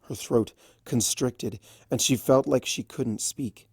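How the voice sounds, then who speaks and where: A storyteller reading fan fiction aloud as an expressive scripted narration. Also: mid-range voice, slow pace, husky, dry recording, clean studio sound.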